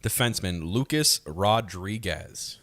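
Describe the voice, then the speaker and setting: A man's voice: fairly low pitch, animated, unhurried, a bit bright, dry recording. A man chatting casually as a co-host and analyst on a hockey podcast. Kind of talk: casual sports podcast chat.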